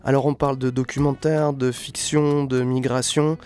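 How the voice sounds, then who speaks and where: Mid-pitched male radio host. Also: flat delivery, moderate pace, a little mellow. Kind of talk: radio presenting and interviewing.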